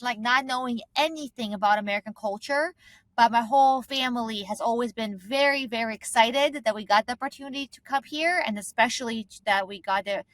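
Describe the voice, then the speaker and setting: A woman's voice: fairly high pitch, sharp tone, dry recording. A woman from Eastern Europe and Israel, a founder guest in an interview, telling a personal story conversationally. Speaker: guest, startup founder and CEO.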